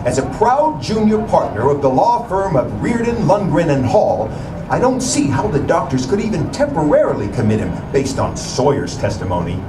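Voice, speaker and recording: A man's voice: a little high, sing-song, normal speed. A man playing a proud, eager, idealistic young lawyer in a theatrical puppet show. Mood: determined. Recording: distant, echoey, noisy theater recording.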